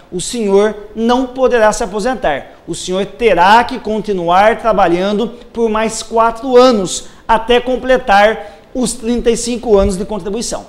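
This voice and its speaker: A man working as a social security lawyer, methodically explaining pension rules step by step on a live TV program. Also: sits high. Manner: methodical, lays out cases step by step.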